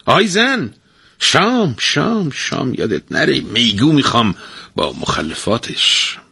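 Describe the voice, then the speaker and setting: A lazy, gluttonous husband from a storybook folk tale, voiced by a man in a whiny, bossy way. His husky voice jumps all over in pitch. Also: huge pitch swings, bright, forceful tone, no echo, full character voice. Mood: demanding. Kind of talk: storybook reading.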